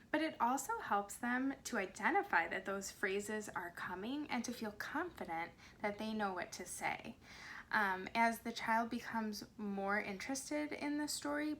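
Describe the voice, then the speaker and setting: A woman reading to young children in an expressive, deliberate way with animated phrasing and dramatic pauses. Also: medium pitch, a little hiss or hum. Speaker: speech pathologist and children's storyteller. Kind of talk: expressive read-aloud storytelling for young children.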